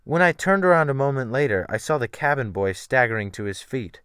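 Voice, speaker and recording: A man giving a theatrical, expressive first-person dramatic reading of a literary sea tale as an audiobook narrator. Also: medium pitch, fairly quick, smooth, dry recording, studio quality. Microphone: USB desktop microphone.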